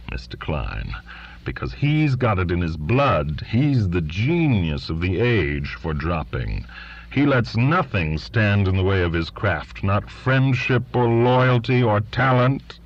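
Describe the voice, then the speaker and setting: A man voicing a drunk, mocking middle-aged male writer who taunts loudly. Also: a bass voice, sing-song, takes it slowly, gravelly, hardly any pauses, slightly rough, highs cut off, pitched below the performer's own voice, heavily acted. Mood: sneering drunk. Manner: loud, sneering, slurred taunting.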